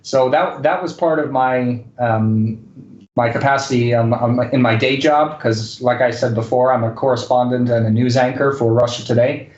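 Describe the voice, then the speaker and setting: A man's voice: medium pitch, fairly even intonation, normal speed, a little mellow. A man speaking casually as an interview guest, with a hesitant delivery full of fillers. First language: English and Spanish. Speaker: guest, correspondent and news anchor. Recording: dull lo-fi roomy sound.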